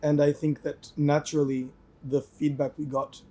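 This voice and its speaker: A man's voice: fairly high pitch, level tone, moderate pace, mellow. A man from a band answering interview questions thoughtfully, with frequent restarts. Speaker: band member.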